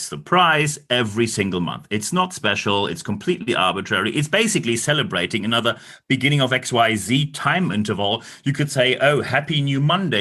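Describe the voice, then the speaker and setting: A man's voice: medium pitch, a bit bright. A man from Northern Germany with a German accent, hosting a solo leadership podcast with blunt talk and dry sarcastic asides. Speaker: podcast host. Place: recording in London.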